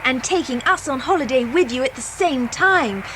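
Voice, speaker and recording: High, lively schoolgirl. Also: normal speed. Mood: eager. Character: polite, eager British schoolgirl. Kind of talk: children's audio drama acting. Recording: noisy cassette with vehicle rumble.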